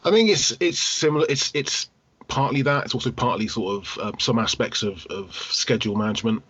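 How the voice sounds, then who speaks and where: A man co-hosting a casual gaming podcast, husky, rambling and qualifying his points as he goes. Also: mid-range voice, fairly quick, fairly bright tone, a little hiss or hum. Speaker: podcast co-host, game collector. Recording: slightly muffled podcast audio.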